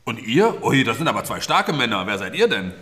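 An adult performer playing a friendly, excitable party giver in a children's audio play, encouraging and echoing answers with surprise. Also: mid-range voice, fairly quick. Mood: curious, friendly. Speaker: host of a magic forest party, interviewing characters.

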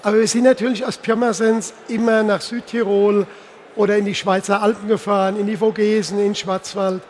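A man's voice: high voice, level tone, fairly quick. A man in his sixties from the Palatinate in Germany, talking casually as an interview guest on stage. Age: 60s. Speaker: interview guest, insurance executive. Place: live stage at a trade fair. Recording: faint background noise, live event.

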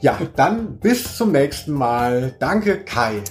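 A man's voice: mid-range voice, big pitch swings, takes it slowly, faint music behind. A man hosting a podcast interview in a casual, conversational style.

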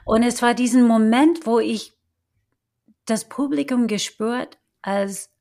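A middle-aged woman with an American accent and English as her first language, speaking German haltingly with many fillers and restarts as she tells her life story in an interview. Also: a little high, darkish tone. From United States. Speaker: guest, actress. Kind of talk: casual interview, personal story.

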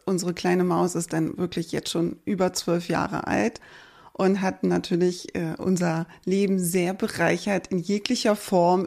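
A woman in her forties from Germany, a podcast host calmly introducing herself with fillers and repeated words. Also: on the low side, vocal fry. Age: 40s. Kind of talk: personal introduction monologue.

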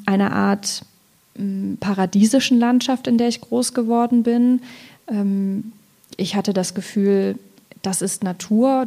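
A woman from Thuringia in Germany speaking as a poet in a literary discussion, thoughtful and halting as she searches for her words. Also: medium pitch, dark tone.

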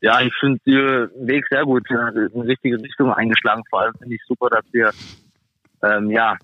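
A man giving casual, halting interview answers as a professional athlete guest. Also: medium pitch, flat delivery, dry recording. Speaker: guest, professional ice hockey player. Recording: thin phone-like band-limited sound.

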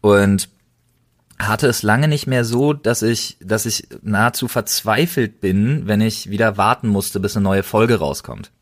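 Podcast host: young male, lower-pitched, chatting casually. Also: a little slow.

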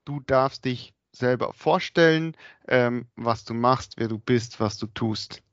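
A man co-hosting a podcast in relaxed, easygoing casual chat, introducing the guest. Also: mid-range voice, takes it slowly, clean tone, no room sound. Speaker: podcast co-host. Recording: dry dull lo-fi sound.

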